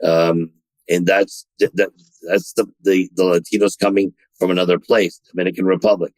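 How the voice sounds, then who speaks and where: A man's voice: low-pitched, little pitch movement, moderate pace, darkish tone, no room sound. A man being interviewed on a podcast about running a car dealership, talking in bursts with many restarts and repeated words. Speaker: dealership general manager, guest.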